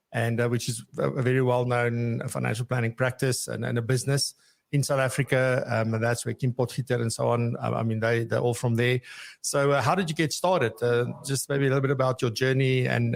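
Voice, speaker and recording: A man's voice: mid-range voice, creaky. A man hosting a live podcast, chatty and hesitant, full of fillers as he introduces and questions his guests. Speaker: podcast host.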